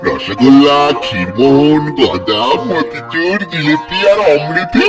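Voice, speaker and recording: An actor voicing a greedy, simple, easily startled village fellow in a children's cartoon, exaggerated and talking to himself. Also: male voice, a little high, very animated, slow pace. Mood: chatty. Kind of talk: Bengali children's cartoon folk tale acting. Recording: loud music behind the voice.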